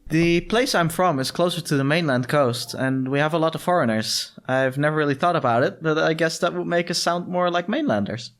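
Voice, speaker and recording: A man's voice: on the high side, fairly quick, hardly any pauses, fairly bright tone. A man voicing a modest young shop assistant in a visual novel, polite and earnest. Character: modest young male furry shop assistant, foreign newcomer. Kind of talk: visual novel character read-aloud. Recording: music behind the voice.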